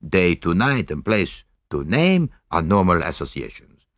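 A man voicing a courteous, shrewd foreign detective with a French accent, speaking deliberately and probing gently with little questioning interjections. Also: sits low, big pitch swings, dry recording, studio quality, exaggerated voice. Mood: explaining.

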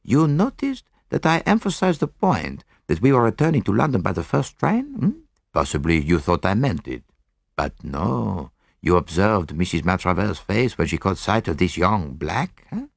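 Man acting a shrewd detective, French accent, courteous, deliberate, animated pitch, audiobook character, creaky edge. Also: dry recording, studio quality, heavily acted. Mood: sly, confiding. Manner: courteous, deliberate, with questioning interjections. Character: shrewd, courteous foreign male detective, gently probing.

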